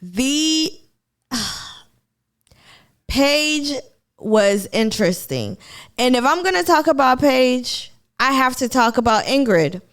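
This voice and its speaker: A woman's voice: high voice, animated, slow pace, long pauses, clear, resonant tone. A woman from Kenya with a Kenyan accent, chatting casually to viewers on a live stream about a TV show. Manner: chatty, addresses viewers as y'all.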